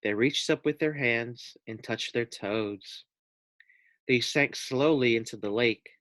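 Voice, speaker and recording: A man reading a children's storybook aloud as a teacher, with deliberate, clear phrasing. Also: mid-range voice, a bit bright, no room sound.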